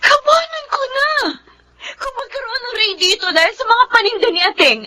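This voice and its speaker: Very high, plaintive wife. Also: female voice, animated, moderate pace, pushed and bright, slight background noise, heavily acted. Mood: tearful pleading. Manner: plaintive, pleading. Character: Elsa, distressed pleading pregnant wife. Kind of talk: Tagalog radio drama acting.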